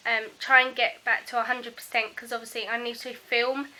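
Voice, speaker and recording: A woman, hoarse and croaky from a sore throat, chatting casually to her camera at home with plenty of ums. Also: fairly high pitch, level tone, pushed and bright, poor audio. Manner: chatty, many ums, unhurried. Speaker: family vlogger. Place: at home, indoors.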